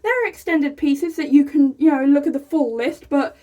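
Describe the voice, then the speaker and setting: A young woman from England, chatting casually to her camera in a small room, excitable and laughing often. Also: high voice, moderate pace, dull audio, a little hiss or hum. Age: young adult. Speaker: vlogger. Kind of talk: casual vlog chat. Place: small room by a rainy window, indoors.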